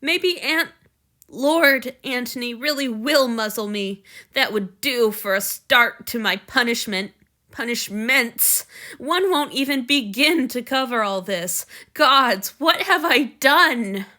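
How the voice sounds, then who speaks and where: A woman's voice: expressive, slow, sharp tone, exaggerated voice. A woman voicing an impulsive young man who is crying and blaming himself. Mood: tearful, frantic. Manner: sobbing, high-pitched outbursts. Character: young man, weepy, impulsive, self-reproaching.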